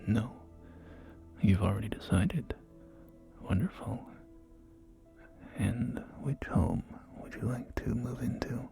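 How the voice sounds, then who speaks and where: A man playing a polite, salesy post-apocalyptic real estate agent in a whispered, breathy ASMR roleplay, with courteous, hesitant pauses. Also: lowish voice. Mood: courteous, pleased. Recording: soft game music behind. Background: music and game audio.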